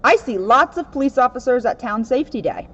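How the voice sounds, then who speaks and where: A woman's voice: fairly high pitch, animated, a little fast, fairly bright tone, breathy edge. A woman, a police officer, reading a children's picture book aloud in a dull lo-fi recording. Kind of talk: read-aloud children's story narration. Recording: dull, lo-fi sound.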